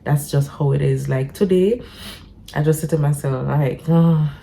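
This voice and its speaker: A Jamaican woman vlogging casually from home, her voice stuffy and congested, talking in a halting way with many restarts. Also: low voice, creaky, dark tone, slight background noise. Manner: halting, with frequent restarts and fillers. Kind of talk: casual talk to camera.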